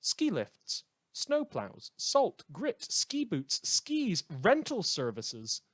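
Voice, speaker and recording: A man's voice: sits high, wildly swooping pitch, extremely slow, fairly bright tone, dry recording, studio quality, full character voice. A man playing an opinionated, darkly comic radio host in an apocalyptic world, delivering a scripted monologue in a theatrical, wry manner. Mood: impassioned. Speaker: radio host character in a fan audio drama.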